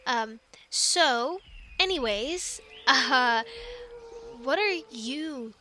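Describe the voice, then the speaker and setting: High, bright, awkward young female castaway scientist, acted by a woman. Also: expressive, drawn-out words, slight background noise, exaggerated voice. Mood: amused, rambling. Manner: stammering, rambling, flustered, urgent hushing in whispers. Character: awkward, quirky young female animal scientist stranded on dinosaur island. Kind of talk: ASMR audio roleplay to an unheard listener.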